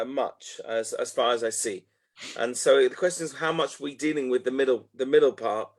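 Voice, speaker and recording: A man, answering interview questions in a reflective, loosely phrased way with frequent "okay" asides. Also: mid-range voice. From North London, England. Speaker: guest, educational tour organizer.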